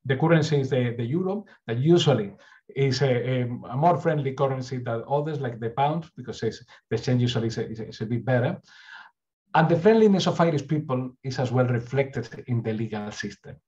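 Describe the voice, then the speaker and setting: A middle-aged man with a Spanish accent presents earnestly over a webcam call, often restarting and repeating words. His voice sits at an ordinary pitch. The audio is a little dull and lo-fi. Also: brisk, mellow. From Spain. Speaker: university recruitment speaker. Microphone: webcam on a video call.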